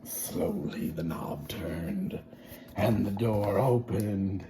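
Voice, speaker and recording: A man's voice: low-pitched, drawn-out words, background noise. A man reading a children's story aloud in a theatrical, playful way, with asides to his listeners. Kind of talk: animated children's read-aloud.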